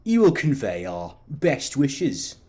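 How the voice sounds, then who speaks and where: A man voicing a courteous, condescending alien diplomat who speaks in measured, veiled threats for an audio drama. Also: medium pitch, wildly swooping pitch, mellow, full character voice. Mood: polite, sinister. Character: polite, smugly menacing male alien diplomat, condescending.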